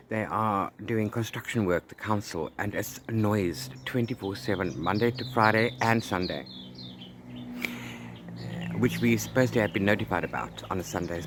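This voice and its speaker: A man chatting casually as a book vlogger, rambling and repeating words and phrases for emphasis. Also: on the low side. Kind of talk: casual chat to camera about reading. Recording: clear background noise.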